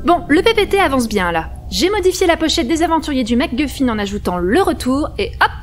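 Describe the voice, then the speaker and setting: A woman's voice: high voice, very animated, brisk, music in the background. A woman playing a busy, snobbish start-up worker in a comic audio drama, self-assured and trendy in manner.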